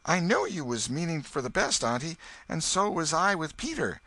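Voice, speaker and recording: A man's voice: fairly high pitch, sing-song, normal speed, bright, forceful tone, no room sound, pitched above the performer's own voice, full character voice. A man doing a cheeky country boy. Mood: sly. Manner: drawling country boy talk, pleading. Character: mischievous young boy, sly and cheeky.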